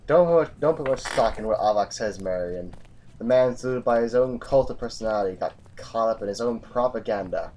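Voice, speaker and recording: A man's voice: medium pitch, a little fast, darkish tone, faint music behind, lightly acted, rough recording. A man acting a hardened, brooding military commander with a grave, formal delivery in a science fiction audio drama. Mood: urgent, commanding.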